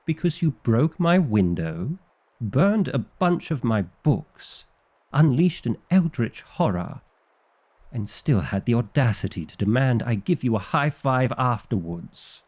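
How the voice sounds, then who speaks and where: A man's voice: mid-range voice, big pitch swings, unhurried, a little mellow. A man playing a fussy, exasperated vampire librarian in an ASMR roleplay, with an indignant, officious and dryly comic delivery. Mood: annoyed.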